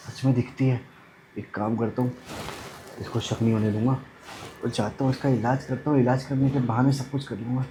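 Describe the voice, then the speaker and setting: An actor playing a sleazy young man who poses as a doctor, talking smooth and suggestive in a short film. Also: medium pitch, warm tone, a little hiss or hum, close to an everyday voice, rough recording.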